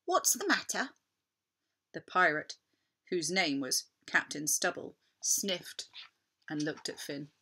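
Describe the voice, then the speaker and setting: A woman narrating a children's story in an expressive, deliberate, dramatic storytelling manner. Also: sits low. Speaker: storybook reader.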